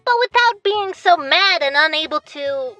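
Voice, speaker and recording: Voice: animated, a little slow, pushed and bright, no echo, faint music behind, exaggerated voice. A performer voicing a perky, bratty young turtle-monster boy in a fan cartoon, in a childlike, cheeky voice. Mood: puzzled. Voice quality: childlike, high-pitched. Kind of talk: cartoon voice acting for fan animated series.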